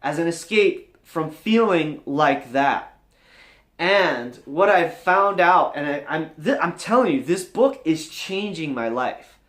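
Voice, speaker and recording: A man's voice: on the high side, lively intonation, slow pace, a bit bright, reverberant. A man talking candidly to viewers as a vlog host, earnest, confessional and emphatic, in a motivational style.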